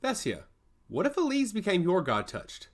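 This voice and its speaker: A man voicing a quick-witted, firm woman in a fantasy story, wry and persuasive. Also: high voice, huge pitch swings, voice pushed up, heavily acted. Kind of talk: fantasy audio story dialogue.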